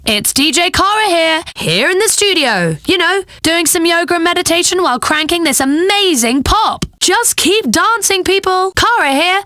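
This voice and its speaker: Game radio host: sardonic young female pop DJ, bubbly, highly animated delivery, bright tone, tongue-in-cheek. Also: normal speed, few pauses, dry recording, clean studio sound, full character voice. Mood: bubbly, teasing. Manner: breezy, tongue-in-cheek, deadpan asides. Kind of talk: satirical game radio DJ patter.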